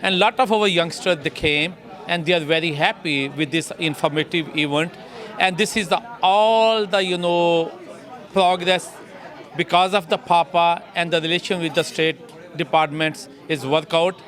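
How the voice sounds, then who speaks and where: A man giving a halting statement to the camera outdoors, with non-native phrasing. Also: a little high, fairly bright tone, a faint crowd.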